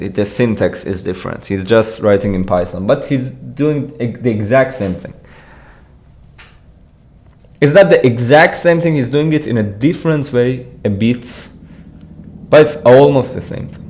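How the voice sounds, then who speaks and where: A man's voice: medium pitch, a little mellow. A young man from Iran, live streaming at a computer, casually explaining contest solutions and reading chat with frequent restarts. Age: young adult. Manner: casual, hesitant, restarts sentences, laughs while reading chat.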